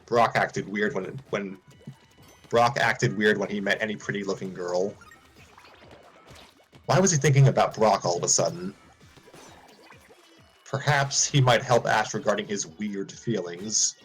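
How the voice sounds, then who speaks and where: A man reads a fan story aloud in a casual way, often tripping over words and starting lines again. His voice sits low with a husky grain. Soft music plays faintly behind him. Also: unhurried, long pauses. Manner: frequent stumbles and restarts. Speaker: streamer reading fan fiction aloud.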